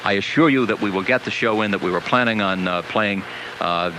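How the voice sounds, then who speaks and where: American man, talk-radio host, lowish voice, quick delivery, clearly noisy cassette recording. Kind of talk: live talk-radio hosting. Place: radio studio. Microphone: studio broadcast microphone.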